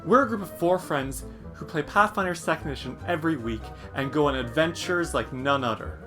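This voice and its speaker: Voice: mid-range voice, brisk. An announcer reading a scripted podcast advertisement in a playful, upbeat manner. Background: music.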